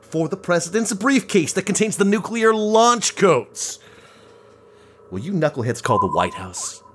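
A man plays a short-tempered government boss in an audio drama, barking in exasperation. His pitch leaps widely over a slow delivery. The recording is noisy. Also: sing-song. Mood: exasperated. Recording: clearly noisy, clicks.

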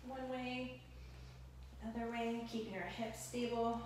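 Young female fitness instructor, breezy and encouraging, slightly high-pitched, camera mic set back in a reverberant home gym. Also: steady tone, measured pace, slight background noise. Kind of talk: instructional workout voice-over and product demo. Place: home gym room with bare walls. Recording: echoey room, voice from a distance.

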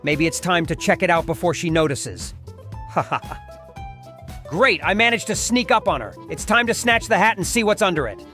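A woman's voice: fairly low pitch, lively intonation, normal speed, fairly bright tone, soft background music. A dub actor voicing a mischievous male rabbit who teases and schemes. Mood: sneaky. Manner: sly, teasing.

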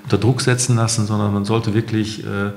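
A man's voice: lowish voice, flat delivery, brisk, a little mellow. A middle-aged man speaking conversationally as a guest in a podcast interview. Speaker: interview guest, company founder. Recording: roomy, echoey sound.

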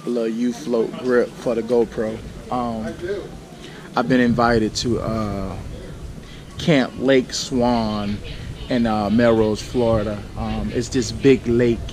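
A man in his thirties with a slightly scratchy voice, chatting casually to his handheld camera as a vlogger inside a large store, relaxed and full of fillers. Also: mid-range voice, slow, a crowd in the background. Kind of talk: casual vlog talk to camera. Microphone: handheld action camera at arm's length. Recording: noisy handheld camera audio.

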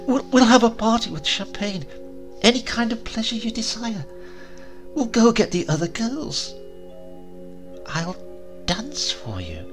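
A man reading a storybook, voicing a clingy young woman who pleads in a high, tearful voice. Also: very animated, a little slow, long pauses, faint music behind. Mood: pleading.

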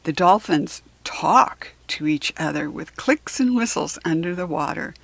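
An American woman reading a children's book aloud in a deliberate, instructive way, adding chatty asides for young listeners. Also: lowish voice, creaky, slightly rough, dry recording. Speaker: children's book reader and host.

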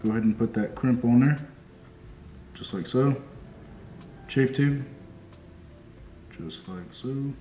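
A young man working as a fishing deckhand calmly walks through a hands-on demonstration step by step, often saying "just like so" as he works. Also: mid-range voice, dull audio, slight background noise. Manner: calm, unhurried, repeats "just like so" while working with his hands. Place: small indoor tackle shop workbench. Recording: roomy sound with music underneath.

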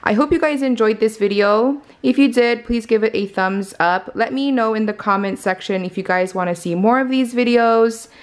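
A woman's voice: fairly high pitch, normal speed, few pauses. Chatty woman narrating a home cooking vlog. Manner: chatty, friendly step-by-step explaining to viewers. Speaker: cooking vlogger. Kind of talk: casual meal prep narration. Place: home kitchen.

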